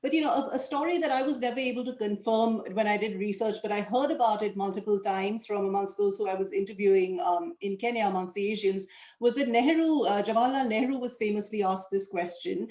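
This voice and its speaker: A woman moderating a panel over a video call, her voice mellow and a little dark. She speaks in a measured, thoughtful way as she reads out audience questions. The room around her sounds roomy and echoey. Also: mid-range voice. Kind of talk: online panel discussion.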